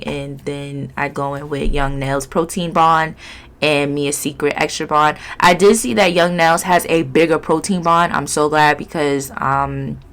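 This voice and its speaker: A woman's voice: low-pitched, gravelly, fairly bright tone. A woman with a stuffy, nasal voice from a cold, chatting candidly and rambling through a nail tutorial vlog. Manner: rambling, candid, lots of fillers. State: congested, sniffly, nasal. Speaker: nail vlogger.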